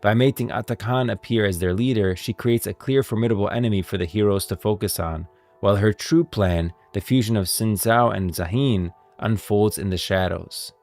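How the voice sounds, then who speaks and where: A man's voice: on the low side, normal speed, a little mellow, faint music behind. A man hosting a stream, giving emphatic, explanatory commentary on video game lore, part read and part off the cuff.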